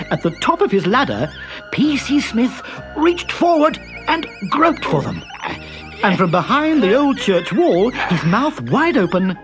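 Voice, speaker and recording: A man reading a children's story aloud with lively, expressive narration. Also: sits high. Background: background music.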